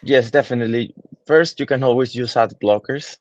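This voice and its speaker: A man's voice: mid-range voice, a little fast, no echo, muffled recording. A man in his twenties from Peru, a digital marketing consultant answering interview questions thoughtfully, with many fillers and restarts. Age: 20s.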